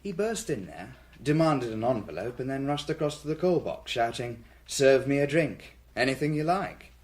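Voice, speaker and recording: A British actor playing a diligent police detective reporting briskly to his chief in a radio drama. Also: medium pitch, sing-song, darkish tone, lightly acted. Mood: descriptive, animated.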